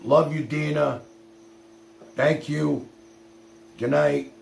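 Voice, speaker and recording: A man's voice: fairly high pitch, level tone, very slow, vocal fry. A man hosting a livestream with casual, folksy, plain-spoken chat. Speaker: livestream host. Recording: roomy echo with faint noise.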